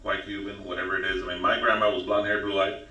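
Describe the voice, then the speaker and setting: A man's voice: low-pitched, flat delivery, quick pace, bright, forceful tone, highs cut off. A man speaking as a podcast guest, emphatic and preachy, often pressing "okay?" to drive his points home. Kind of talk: casual talk show chat. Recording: roomy and distant.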